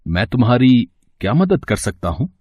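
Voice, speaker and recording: A man's voice: mid-range voice, very animated, normal speed, warm tone, slightly rough, no room sound, studio quality. A man playing a kind, humble, poor gardener in a devotional story, earnest and fatherly. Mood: kind, concerned. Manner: plain-spoken, fatherly, earnest. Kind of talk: devotional story dramatization in Hindi.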